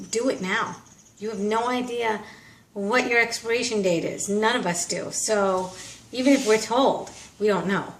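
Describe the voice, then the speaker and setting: A woman vlogger talking casually and earnestly in a rambling, reflective way, her voice slightly hoarse from a cold. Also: mid-range voice. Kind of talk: casual reflective monologue to camera. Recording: roomy, distant mic, faint background noise.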